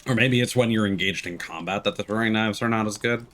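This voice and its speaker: A man's voice: fairly low pitch, quick pace, fairly bright tone. A man giving casual, laid-back gameplay commentary as a streamer, musing as he plays. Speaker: game streamer. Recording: noisy game audio behind voice. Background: video game sounds.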